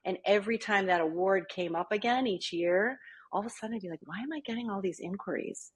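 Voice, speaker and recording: Husky woman, chatty business coach. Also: mid-range voice, quick pace. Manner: chatty, keeps asking "right?". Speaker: small business coach, guest. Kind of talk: podcast interview.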